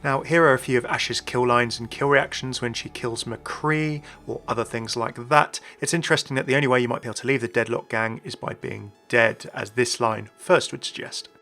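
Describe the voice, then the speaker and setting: A man's voice: medium pitch, normal speed, few pauses, sharp tone. A man presenting a chatty, inquisitive explainer as a gaming video host, scripted with casual asides. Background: faint background music.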